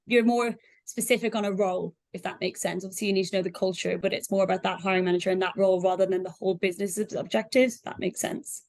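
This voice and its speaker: A woman's voice: medium pitch, steady tone, quick pace, a little mellow. A woman speaking conversationally as an interview guest, explaining things in a reflective, loosely hedged way. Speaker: software sales representative, guest.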